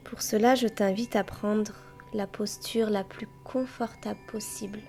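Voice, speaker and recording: Female meditation guide: mellow, breathy and gentle, very unhurried delivery, soft chimes and music underneath. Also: medium pitch, fairly even intonation. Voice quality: soft, breathy, often near a whisper. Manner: gentle, unhurried, with long pauses between short phrases. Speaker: guided meditation teacher and host. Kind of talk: guided yoga nidra meditation, slow and soothing.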